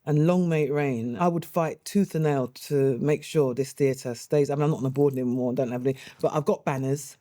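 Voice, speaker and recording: A middle-aged woman talks about her life in theatre in a chatty, animated way, laughing easily. Her voice is mellow and warm. The sound is close and dry, with no room around it. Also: a bass voice, a little fast, few pauses, dry recording. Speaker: actress and playwright. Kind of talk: interview, reminiscing.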